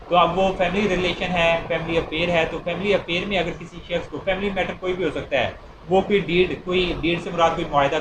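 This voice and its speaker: A man with a Pakistani accent teaches in an echoey room with clear noise behind him. His voice sits a little high. He explains step by step in a repetitive, didactic lecture style, working through examples. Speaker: law lecturer.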